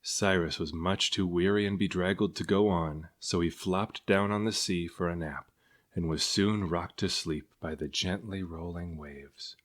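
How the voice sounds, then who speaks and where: A man's voice: low voice, steady tone, measured pace. A man reading a children's storybook aloud as narrator. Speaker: storybook reader.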